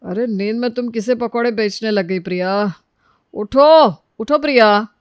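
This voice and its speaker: A man's voice: high voice, a little slow, clear, resonant tone, no echo, studio quality. A man voicing a playful young husband in a storybook, coaxing and teasing as he begs for food. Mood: startled. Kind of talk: acted Hindi audio storybook dialogue.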